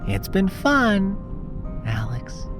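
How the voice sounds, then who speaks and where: Male narrator as little demon boy, small childlike voice, raised pitch, innocent yet creepy, horror storytelling. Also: huge pitch swings, extremely slow, over music, exaggerated voice. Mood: eerie, taunting. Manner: innocent pleading turning coldly taunting. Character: sinister small boy, demonic apparition, sweetly bargaining.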